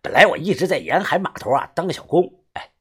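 Guy putting on a high loud freaked-out crook, northeastern accent, stutters and cusses, husky. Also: big pitch swings, quick pace, heavily acted. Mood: stammering, wheedling. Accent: Northeastern Chinese. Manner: stammering, pleading, shouting curses. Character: panicked middle-aged male fugitive, foul-mouthed.

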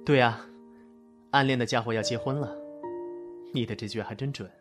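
男声饰演淡定毒舌的年轻男子. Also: male voice, mid-range voice, normal speed, music in the background, lightly acted. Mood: wry. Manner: dry, teasing, composed. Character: wry young man, amateur therapist, calm and sly. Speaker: psychological rehab counselor.

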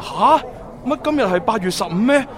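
Animated, high-voiced cheeky young Cantonese grandson played by a man. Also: moderate pace, a crowd in the background. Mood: cheerful, playful. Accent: Hong Kong Cantonese. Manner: playful, exaggerated, glib. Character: cheeky sweet-talking grandson, a young man, secretly an agent. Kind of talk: Cantonese audio drama acting.

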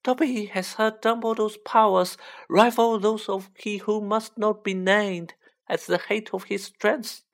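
A woman voicing a small male house-elf for a fantasy audiobook, a squeaky, humble, anxiously devoted servant who pleads with drawn-out emphasis. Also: steady tone, natural voice. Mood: earnest, anxious. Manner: pleading, drawn-out, emphatic delivery in third person. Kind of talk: children's fantasy audiobook character voice.

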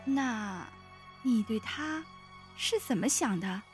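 A woman playing a caring, solicitous elder-sister figure of a pleasure house in a Chinese costume audio drama, gentle and worried. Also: fairly high pitch, expressive, slow. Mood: puzzled, probing. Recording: music laid over the voice.

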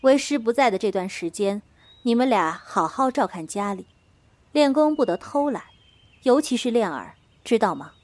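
Stern, composed female wuxia master actress, commanding delivery with lively intonation, dry studio sound. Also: a little high, moderate pace, dry recording. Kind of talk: Chinese wuxia radio drama acting.

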